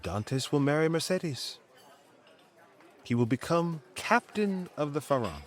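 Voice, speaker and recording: A scheming villain in a period radio play. He speaks slowly and with relish, his pitch sliding expressively as he manipulates and condescends. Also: sing-song. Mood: manipulative, mock-resigned. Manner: smooth-talking, deliberate, manipulative. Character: sly, scheming, condescending man plotting a rival's downfall. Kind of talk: dramatic audio fiction acting.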